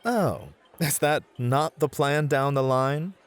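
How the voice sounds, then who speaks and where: A man's voice: fairly high pitch, takes it slowly. A man playing a suave, competitive club host who flirts and teases theatrically in an ASMR roleplay. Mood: playful, suave. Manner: smooth-talking, teasing, theatrical.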